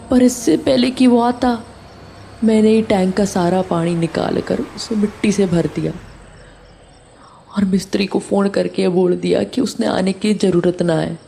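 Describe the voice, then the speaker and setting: A woman's voice: mid-range voice, creaky, warm tone, slight background noise. A woman playing a guilt-ridden older caretaker, mournful and pleading in a horror audio drama. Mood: anxious confession. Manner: mournful, regretful, halting.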